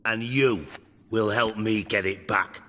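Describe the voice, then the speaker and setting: An actor playing a menacing, blunt underworld boss with dry sarcasm in a video game. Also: male voice, fairly low pitch, steady tone, slow, a bit bright, big-room sound. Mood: businesslike. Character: menacing, sardonic, foul-mouthed male underworld crime boss.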